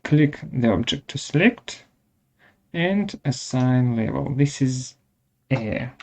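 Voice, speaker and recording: A man presenting a step-by-step software tutorial in short, halting instructional phrases, with a gravelly voice. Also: medium pitch, lively intonation.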